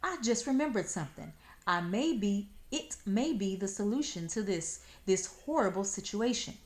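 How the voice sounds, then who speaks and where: A woman's voice: mid-range voice, lively intonation, normal speed, voice pushed up, natural voice. A woman voicing a proud, pompous male storybook fox who speaks formally and stammers and pleads when rattled. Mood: pleading, flustered.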